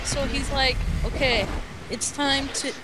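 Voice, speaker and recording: A woman telling an improvised story in casual chat, halting and rambling, acting out the dialogue as it goes, in a noisy amateur voice chat. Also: sits high, steady tone, over a noisy crowd.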